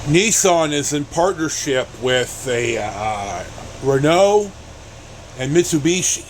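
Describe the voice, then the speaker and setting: Middle-aged American male vlogger, high register, blunt halting rambler, light cabin noise behind. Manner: halting, blunt, mildly profane. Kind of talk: casual rambling storytelling. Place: inside a pickup truck on the road. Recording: steady vehicle cabin noise.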